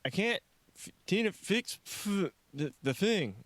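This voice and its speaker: A man's voice: high-pitched, sing-song, a bit bright, no room sound, pitched above the performer's own voice, exaggerated voice. A man voicing a dazed, confused stoner of thirty in an audiobook, whining and stumbling over words. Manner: stumbling, moaning, slow-witted.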